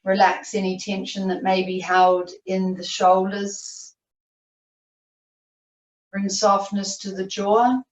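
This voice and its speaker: Slow, even-toned woman guiding meditation. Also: medium pitch. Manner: soothing, unhurried instruction with long pauses. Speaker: yoga nidra teacher. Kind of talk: guided meditation. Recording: roomy, distant, muffled video-call audio.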